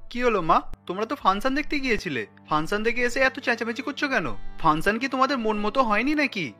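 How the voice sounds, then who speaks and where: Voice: mid-range voice, expressive, a little fast, pushed and bright. An actor playing a kind, principled young son in a cartoon, speaking earnestly and firmly as he reasons. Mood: earnest, reasoning. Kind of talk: cartoon dialogue acting. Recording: light music under the voice.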